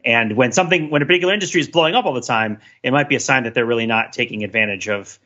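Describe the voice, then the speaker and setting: A man co-hosting a podcast, chatting casually and with opinions, in an animated, rambling and emphatic way full of asides. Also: mid-range voice, very fast, dull audio. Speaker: podcast co-host. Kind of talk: casual opinionated panel chat.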